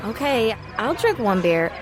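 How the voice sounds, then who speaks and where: Nervous high school girl, high animated voice, uncertain, radio drama, loud music behind. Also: measured pace. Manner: uncertain, easily swayed. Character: hesitant, anxious teenage girl at a party.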